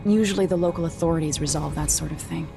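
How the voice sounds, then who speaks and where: A woman's voice: sits low, fast talker, vocal fry, over music. A young woman playing a determined, vengeful empress in a serious, restrained video game performance. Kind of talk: dramatic game acting, brooding narration.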